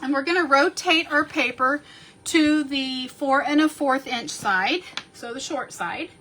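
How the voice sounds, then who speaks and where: Woman doing a slow craft tutorial at her table, bit sniffly, a touch high voice. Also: a bit bright, slight background noise. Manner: slow, chatty, talks while working, counting measurements aloud. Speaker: card-making instructor.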